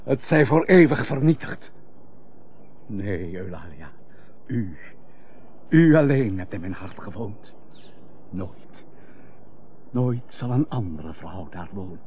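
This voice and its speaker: An actor playing a noble, melancholy betrayed husband, grave and formal in an old melodramatic radio drama. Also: male voice, fairly low pitch, lively intonation, slow pace, long pauses, a little mellow, husky, full character voice. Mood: sorrowful, grave. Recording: muffled old radio recording, faint hiss.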